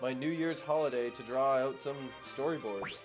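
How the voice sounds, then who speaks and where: A man's voice: mid-range voice, normal speed, music in the background. A man reading a scripted first-person narration, with casual asides dropped in along the way. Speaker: fan dubber reading a game developer's video script.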